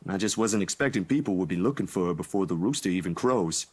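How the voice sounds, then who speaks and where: A man's voice: low voice, expressive, fast talker, breathy edge. A man acting a laid-back, caring young man in a visual novel, speaking casually and a little hesitantly. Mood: earnest, apologetic.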